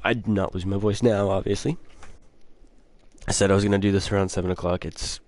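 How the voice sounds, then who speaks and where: Low-voiced rambling young gamer man. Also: steady tone, brisk, darkish tone, dry recording. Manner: rambling, reads notes aloud. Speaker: gamer playing a horror-adventure game. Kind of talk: casual let's play commentary.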